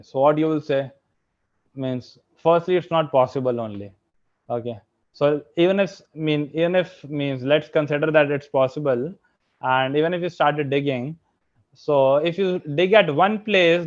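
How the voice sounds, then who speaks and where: Indian man lecturing over dull lo-fi audio. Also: fairly high pitch. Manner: filler-heavy, keeps saying "okay" and "means", asks the audience questions. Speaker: guest speaker, software engineer. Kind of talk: online lecture with slides.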